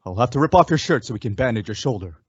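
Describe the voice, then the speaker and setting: A man playing a brave, steady masked cowboy hero in a radio western, commanding and reassuring. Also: mid-range voice, very fast, breathy edge, dry recording, muffled recording. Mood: steady, concerned. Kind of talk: old-time radio western acting.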